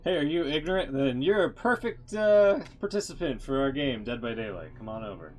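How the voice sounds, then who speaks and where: Animated male streamer musing over music. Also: mid-range voice, fairly quick. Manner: musing, wry asides. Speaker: game streamer. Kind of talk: casual live stream commentary. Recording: game music behind the voice. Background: game music.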